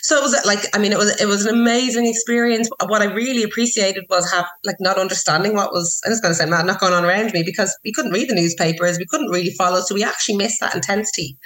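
A woman's voice: medium pitch, fairly even intonation, fast, few pauses, fairly bright tone, low-quality recording. A woman in her thirties, chatting in an interview with many restarts and fillers. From Ireland. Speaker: interview guest, wine professional. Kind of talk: casual interview chat.

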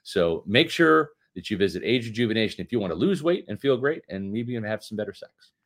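A man's voice: fairly low pitch, expressive, fast talker. A man in his fifties co-hosting a late-night sports podcast, joking and emphatic, reading an ad in his own words. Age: 50s. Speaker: podcast co-host.

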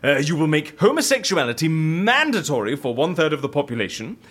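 An eccentric male schemer for an audio comedy drama. His husky voice sits high and swoops widely, bossy and theatrical, with obvious relish. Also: big pitch swings, a little fast, fairly bright tone. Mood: gleeful, commanding. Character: gleeful eccentric male schemer plotting absurd political stunts.

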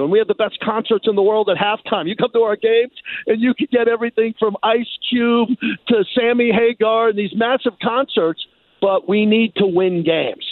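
A man from New York in animated sports talk as a radio guest, speaking emphatically and repeating phrases for effect. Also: high voice, dry recording. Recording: phone-like thin band.

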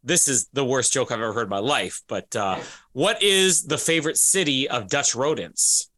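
A man's voice: medium pitch, lively intonation, moderate pace, bright, forceful tone. A man co-hosting a podcast, teasing and giggling through casual banter. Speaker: podcast co-host.

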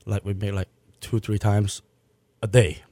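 Halting restaurant owner, dry sound. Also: male voice, fairly low pitch, little pitch movement, mellow, dry recording. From born in Malaysia, raised in Hong Kong. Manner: plain, halting, restarts sentences. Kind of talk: casual interview answers.

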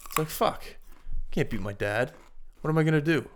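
A man's voice: a little high, normal speed, a little hiss or hum. A man co-hosting a podcast, chatting casually in a rambling, upbeat way and teasing his co-host. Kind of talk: casual chat.

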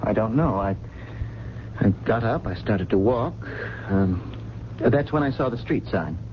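A man's voice: on the low side, expressive, measured pace. An actor playing a polite, well-bred man who is lost and bewildered, speaking hesitantly and formally in a radio drama. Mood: groping, haunted. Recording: dull, noisy vintage radio broadcast.

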